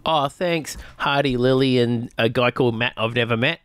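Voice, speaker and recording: A man's voice: mid-range voice, moderate pace, clean tone, no echo, clean studio sound, faint music behind. A man co-hosting a radio show, teasing his partner in casual banter. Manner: teasing, lively. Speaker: radio co-host.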